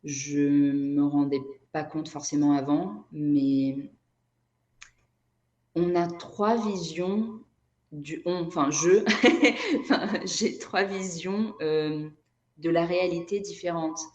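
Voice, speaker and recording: A woman's voice: deep voice, steady tone, slow pace, warm tone, dull audio. A woman from Brazil whose native language is Portuguese, speaking reflectively as an interview guest and often searching for her words. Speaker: interview guest, energy therapist and writer. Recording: echoey room.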